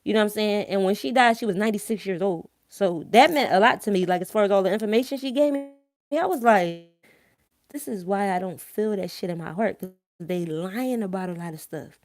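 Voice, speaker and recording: A woman's voice: mid-range voice, a little fast, darkish tone. A woman with a thick Philadelphia accent talks informally to her live-stream viewers, blunt and emphatic, slangy, swearing freely and checking that they follow. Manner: repeatedly checks listeners follow with tag questions. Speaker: online live-stream host. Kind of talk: informal opinionated talk to viewers.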